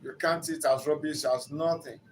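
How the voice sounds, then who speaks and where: A man's voice: a little high, fairly even intonation, moderate pace, a little hiss or hum. An older man with a Nigerian accent and Yoruba as his first language, giving an earnest, teaching-style talk as a relationship counselor that builds to emphatic rises.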